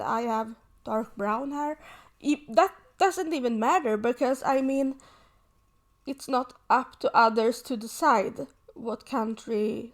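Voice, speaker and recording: Woman hosting a solo podcast; slightly high-pitched, slow and thoughtful, pausing to find her words. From Sweden. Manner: earnest, reflective, pausing often. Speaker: podcast host. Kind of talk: personal reflective monologue.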